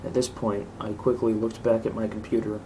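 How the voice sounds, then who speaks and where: A man's voice: on the low side, little pitch movement, a little fast. A man reading a scripted horror story aloud as narrator. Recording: clearly noisy home recording.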